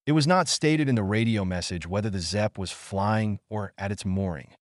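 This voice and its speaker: A man narrates newspaper bulletins from a script. His voice is a little on the low side, and it is recorded dry, without room echo. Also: moderate pace. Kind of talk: scripted narration, reading old newspaper reports.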